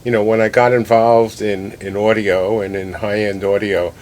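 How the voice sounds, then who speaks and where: A man's voice: flat delivery, moderate pace, darkish tone. A man answering interview questions conversationally, explaining his points and repeating phrases for emphasis. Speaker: loudspeaker company president, interview guest. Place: listening room in a home. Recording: clear background noise with low rumble.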